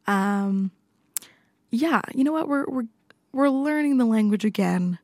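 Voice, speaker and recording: A woman's voice: fairly high pitch, animated, a little slow, darkish tone, no echo. A woman in her twenties from Canada, a guest chatting in a radio studio in a playful, self-deprecating way. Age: 20s. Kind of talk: casual chat.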